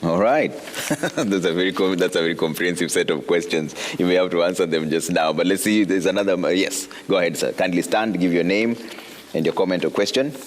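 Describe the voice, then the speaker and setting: A man moderating a question session at a public event, brisk and polite as he calls on speakers. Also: low-pitched, a little hiss or hum.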